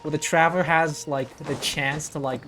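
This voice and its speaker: A reaction video host giving casual, halting commentary and correcting themselves as they go. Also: mid-range voice, fairly even intonation, noisy background.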